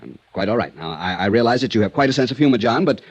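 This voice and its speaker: An actor playing a fussy, solemn middle-aged insurance executive in a 1950s radio drama, earnest and prone to rambling. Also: male voice, mid-range voice, animated, racing, muffled recording, natural voice.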